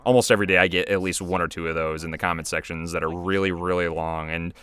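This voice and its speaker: A man's voice: low voice, fairly even intonation, fast, a bit bright, clear, resonant tone. A man giving casual, off-the-cuff commentary as a video creator, chatty and rambling.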